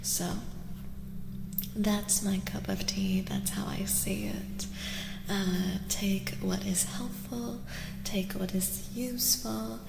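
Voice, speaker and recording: A woman giving a soft-spoken ASMR tarot reading as a stream host, breathy and often whispery, gently repeating phrases in a soothing way. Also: medium pitch, level tone, takes it slowly, noisy background. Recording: long echoing reverb.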